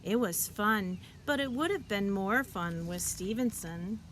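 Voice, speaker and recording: A woman reading a children's picture book aloud, expressively and deliberately, as a teacher would for young listeners. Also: mid-range voice, lively intonation, slight background noise. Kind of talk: children's storybook read-aloud.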